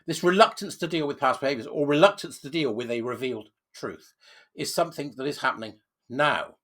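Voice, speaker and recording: A man with a cold, sounding croaky, hosting an emphatic opinion commentary with sudden loud stresses. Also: medium pitch. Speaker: channel host.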